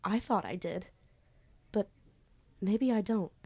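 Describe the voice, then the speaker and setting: A woman's voice: medium pitch, slow, warm tone, no room sound. A woman acting an anxious, defensive young student magician in an amateur audio drama, earnest and self-pitying. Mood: lost, dejected.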